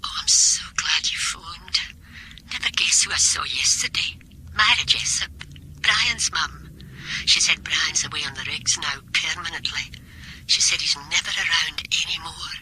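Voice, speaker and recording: A woman as a gossipy mother on the telephone in a radio drama. Her voice is very sharp and thin with a whispery edge, full of pointed hints. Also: sits low, unhurried, heavily acted, low-quality recording.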